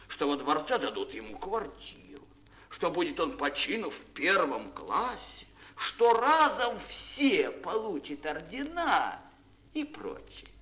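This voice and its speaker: Man telling fairy tales with sweeping pitch. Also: low-quality recording. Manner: expressive, grand, declamatory, with dramatic pauses. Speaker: storyteller. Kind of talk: theatrical fairy-tale narration for children. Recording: mono vintage children's audio record.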